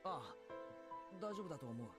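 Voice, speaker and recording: Very quick, lively earnest teenage boy, acted. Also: medium pitch, natural voice. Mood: puzzled. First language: Japanese. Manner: earnest, hesitant, easily flustered. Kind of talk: Japanese visual novel voice acting. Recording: loud game music behind the voice.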